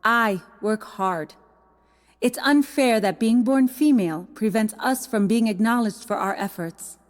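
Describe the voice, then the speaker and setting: A woman's voice: a little high, measured pace, lightly acted. An actress playing an earnest, dignified 19th-century schoolteacher in an audio drama, her voice echoing. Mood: earnest, resolute. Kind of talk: scripted audio drama acting. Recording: long echoing reverb.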